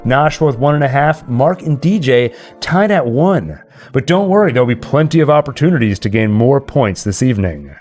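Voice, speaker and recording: A man's voice: mid-range voice, expressive, normal speed, darkish tone. A man hosting a live stream with casual, playful banter, slipping into announcer-like score calls. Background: music.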